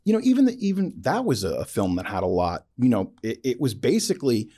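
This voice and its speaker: A man hosting a casual interview, talking in an enthusiastic, opinionated way with halting asides. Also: mid-range voice, very animated, dark tone. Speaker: interview host.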